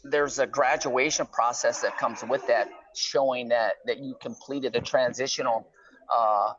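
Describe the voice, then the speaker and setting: Middle-aged male caller, conversational explainer, medium pitch, slightly muffled lo-fi phone sound. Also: fairly even intonation, moderate pace, fairly bright tone. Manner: conversational, explanatory, peppers talk with "right?" and "you know". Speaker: guest calling from prison. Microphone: phone line. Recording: slightly dull, lo-fi phone call.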